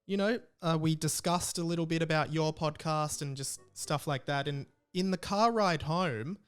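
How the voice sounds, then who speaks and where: A man's voice: fairly high pitch, moderate pace, clear, resonant tone, soft background music. A man with an Australian accent hosting a podcast in casual, informal chat with a mock-aggrieved way of talking. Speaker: podcast host.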